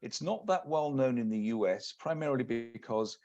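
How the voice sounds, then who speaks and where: A man from the UK with a British accent, giving a measured, businesslike webinar presentation as an executive search partner. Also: mid-range voice, expressive, a little mellow. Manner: measured, businesslike, many restarts. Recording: lo-fi video call audio.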